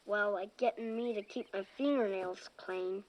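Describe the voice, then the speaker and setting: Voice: mid-range voice, moderate pace, a little hiss or hum, natural voice, low-quality recording. A child actor playing a curious, earnest young boy in scripted television family dialogue, plainspoken and inquisitive. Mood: matter-of-fact. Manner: inquisitive, plainspoken, matter-of-fact.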